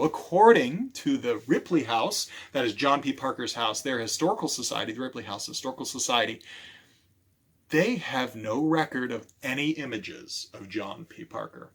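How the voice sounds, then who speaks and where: A man reading aloud as a children's storytime librarian, theatrical, with dramatic pauses and hushed moments, putting questions to young listeners. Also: medium pitch, husky.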